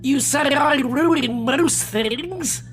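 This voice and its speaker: A woman's voice: sits high, drawn-out words, faint music behind. A woman playing a dim, sulky alien son who whines, in a sci-fi audio drama. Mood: sulky, whining.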